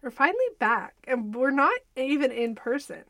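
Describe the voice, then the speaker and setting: Woman, stuffy nasal voice from a cold, higher-pitched, chatty, dry booth sound. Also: sing-song, normal speed, a bit bright, no echo. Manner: chatty, laughs often, trails off mid-sentence. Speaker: podcast co-host. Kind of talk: casual chat. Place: home recording booth. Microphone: studio mic over video call.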